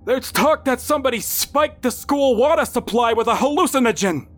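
A man's voice: extremely high pitch, faint music behind, voice pushed up. Stern, bearded old father pronouncing judgment. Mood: grave. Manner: slow, solemn pronouncements broken by coughs and hesitations. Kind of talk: audiobook character voice.

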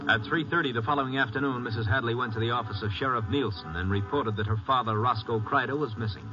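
An actor serving as a brisk, factual announcer narrating a scripted 1950s radio drama. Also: male voice, mid-range voice, loud music behind the voice, close to an everyday voice. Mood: brisk, serious. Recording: muffled 1950s radio recording.

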